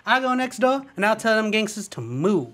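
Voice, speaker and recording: A man playing a scheming, wisecracking assistant in a radio play, quick and playful, twisting words. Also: high voice, very animated, a bit bright. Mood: cheeky.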